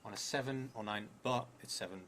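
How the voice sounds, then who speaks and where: A man in his thirties with a creaky voice, thinking aloud and reading as he plays a solo tabletop game, halting and trailing into murmurs. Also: fairly low pitch, fairly bright tone, slight background noise. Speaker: solo tabletop roleplayer streaming.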